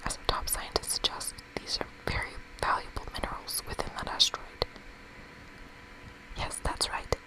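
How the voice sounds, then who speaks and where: A woman's voice: moderate pace, in a whisper, natural voice, poor audio. A woman voicing an old, greedy were-lemur bureaucrat in a game, glib and self-satisfied. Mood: gleeful.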